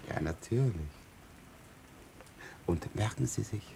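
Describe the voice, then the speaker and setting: A resigned old professor in a seventies radio play, worn out by endless life. His speech is very slow and creaky. Rain and thunder sound faintly behind him. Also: lowish voice, lively intonation, dark tone, a little hiss or hum, exaggerated voice. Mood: weary resignation. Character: world-weary immortal old professor, resigned, longing for death. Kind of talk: 1970s German radio drama acting.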